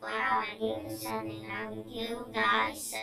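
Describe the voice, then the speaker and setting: A woman's voice: a little high, little pitch movement, measured pace, creaky. A woman speaking improvised scenario dialogue in a heavily echoing recording with music behind. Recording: heavy echo, music behind.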